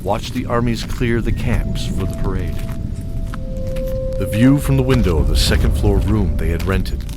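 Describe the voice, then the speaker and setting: Deep-voiced man narrating a fantasy drama. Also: normal speed. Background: dramatic music score.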